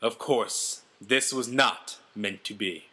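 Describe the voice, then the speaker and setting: A man's voice: on the low side, slow, bright, forceful tone, slightly rough, pitched below the performer's own voice, full character voice. A man voicing a brash, self-important ex-convict quarterback telling his own story with melodramatic, boastful delivery. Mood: grimly dramatic.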